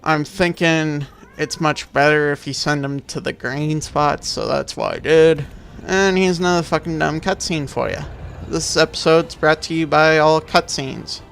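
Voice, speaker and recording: A man's voice: a little high, fairly even intonation, unhurried, vocal fry, few pauses, fairly bright tone. A man giving casual, rambling game commentary as a video maker, dismissive and freely swearing.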